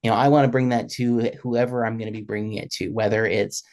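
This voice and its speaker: Male panelist, mid-pitched, casual and reflective, often restarting phrases as he works out a thought. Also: steady tone, a little mellow. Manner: halting, repeats words while thinking. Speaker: panelist who presents music.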